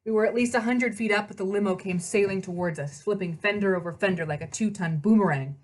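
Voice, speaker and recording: A woman's voice: mid-range voice, fast talker. A woman reading a children's novel aloud as narrator, in scripted storybook narration.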